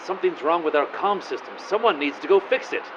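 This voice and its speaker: An actor playing an indignant, bewildered astronaut in a comedic radio play, given to melodramatic outbursts. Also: male voice, a little high, fairly quick, noisy background, natural voice. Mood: panicked, furious. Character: exasperated, paranoid male astronaut, indignant and bewildered. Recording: muffled audio with music behind.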